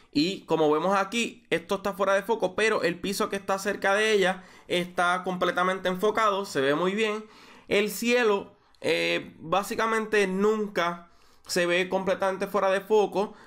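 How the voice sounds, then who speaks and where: A young man with a Puerto Rican accent explaining a photo-editing tutorial, measured and full of small fillers and restarts. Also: high voice, fairly bright tone. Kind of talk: screen-recorded software tutorial.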